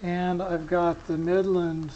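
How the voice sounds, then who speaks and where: Slow, even-toned hobbyist with halting delivery. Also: mid-range voice, highs cut off. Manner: rambling, hesitant, pausing often mid-sentence. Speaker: amateur radio hobbyist giving a gear demo. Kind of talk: casual unscripted demonstration. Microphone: small camera pinned to t-shirt. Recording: noisy clip-on camera sound with clicks.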